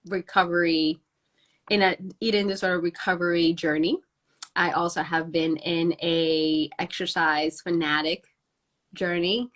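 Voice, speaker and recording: A young woman, raspy from a cold, hosting a live stream and effusively greeting her viewers by name. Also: fairly low pitch, fairly bright tone. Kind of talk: live-streamed motivational talk.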